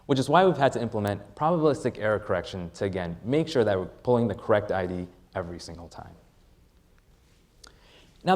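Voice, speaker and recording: A man presenting a rehearsed product pitch as a startup presenter, with deliberate, emphatic phrasing. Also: a little mellow.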